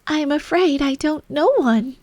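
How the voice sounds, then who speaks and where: A woman's voice: sits high, unhurried, darkish tone, no echo, voice pushed up. A woman voicing a polite, earnest, curious young girl in a storybook. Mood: alarmed, pleading.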